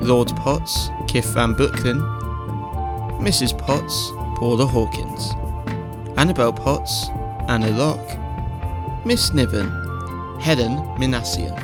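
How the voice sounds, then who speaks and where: A man reading scripted end credits as an announcer, in a measured, list-like way. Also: mid-range voice. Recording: loud music behind voice.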